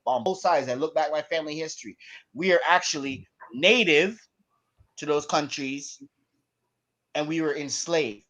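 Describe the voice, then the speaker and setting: A man's voice: on the high side, moderate pace, long pauses, sharp tone. A man from Canada in a heated informal debate, speaking emphatically and combatively, often repeating himself and swearing. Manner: emphatic, repetitive, combative, profane. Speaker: panelist on an online debate show.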